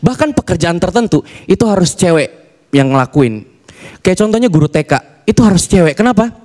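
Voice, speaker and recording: High-voiced young man doing stand-up. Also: a little fast. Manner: animated, acts out voices and dialogue. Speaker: stand-up comedian. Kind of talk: stand-up comedy set. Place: large TV studio stage. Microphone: handheld mic.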